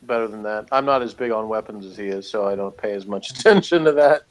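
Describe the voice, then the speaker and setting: A man's voice: on the low side, fast. A man co-hosting a review show, talking casually about pop culture in an easygoing, hedging way.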